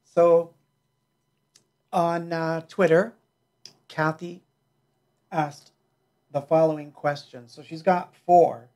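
A man's voice: fairly high pitch, little pitch movement, slow, long pauses, darkish tone. A middle-aged man hosting a livestream from his small home music studio, chatting loosely and joking while he answers viewer questions. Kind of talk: casual livestream chat. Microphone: headset mic.

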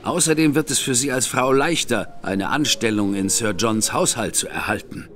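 An actor playing a composed, shrewd older brother who lays out plans in a measured, wry manner. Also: male voice, mid-range voice, music in the background, lightly acted. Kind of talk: German audio drama acting. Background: faint background score.

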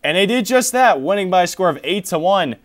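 A man's voice: sits high, animated, fairly quick. A young man hosting a college sports show from a small TV studio, running through scores and stats before handing questions to his analyst. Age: young adult. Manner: reads scores and stats, then hands off questions.

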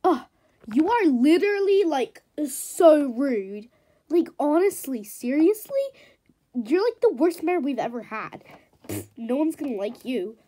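A woman's voice: high voice, very animated, measured pace, warm tone. A woman voicing an outspoken, protective mare in a horse story, with a blunt, sarcastic, scolding manner. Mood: indignant.

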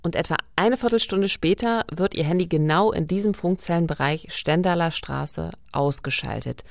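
A woman's voice: lowish voice, moderate pace, vocal fry, no room sound, clean studio sound. A woman co-hosting a true-crime podcast, narrating and putting questions to her colleague. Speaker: podcast co-host.

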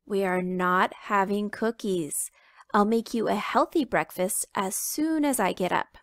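A woman reading aloud plays a groggy father chicken, weary and patient, slow and a little gravelly. The sound is dry and close. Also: mid-range voice, dry recording, close to an everyday voice. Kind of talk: children's picture book read aloud.

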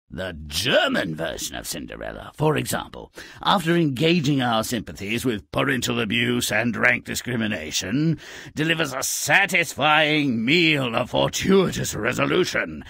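Game narrator: a mean little fairy-tale grouch, harsh and husky, wildly animated, florid and sneering. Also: male voice, mid-range voice, dry recording, studio quality, full character voice. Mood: sly, disdainful. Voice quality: raspy, gravelly. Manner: theatrical, sneering, florid delivery. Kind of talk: scripted video game narration of twisted fairy tales.

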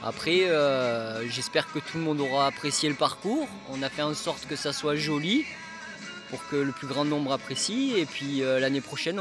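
A man who laid out the race course, answering an interview in a plain, steady way with frequent fillers. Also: a little high.

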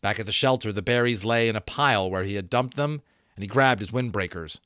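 A man in his thirties reading a novel aloud as an expressive, dramatic audiobook narrator. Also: fairly low pitch, fairly quick, clear, resonant tone, dry recording, clean studio sound. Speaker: audiobook reader, voice actor. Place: small home room, indoors. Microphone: close desk microphone.

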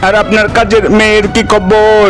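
A man's voice: sits high, completely flat delivery, moderate pace, over music, lightly acted. A man voicing an inquisitive senior detective with a questioning manner in a cartoon. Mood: probing. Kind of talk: cartoon voice acting.

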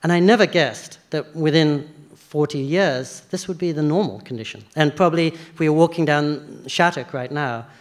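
A man in his sixties from England, an author talking on a festival stage into a stand mic, measured and eloquent. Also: fairly high pitch. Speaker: author guest. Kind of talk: onstage literary conversation. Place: theater stage at a book festival, live audience.

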